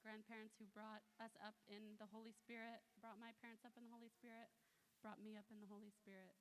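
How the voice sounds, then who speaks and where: A woman's voice: mid-range voice, level tone, fast, a little hiss or hum, low-quality recording. A woman in her twenties from Lewis County sharing her personal testimony in a casual, chatty way. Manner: casual, chatty, many "like" fillers. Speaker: guest speaker. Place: church hall.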